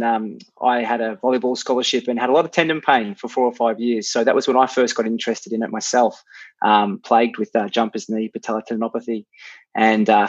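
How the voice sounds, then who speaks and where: A man with an Australian accent, a sports physio, describing his career in a flat, matter-of-fact way over a remote call. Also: medium pitch, slightly rough, dry recording, muffled recording.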